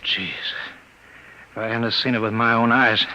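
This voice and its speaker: A jumpy crook from an old radio play stammers and frets in a bright, tense voice. The sound is a dull, lo-fi 1950s broadcast. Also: male voice, mid-range voice, fairly even intonation, unhurried. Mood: scared. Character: nervous, stammering male crook. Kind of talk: 1950s radio drama acting.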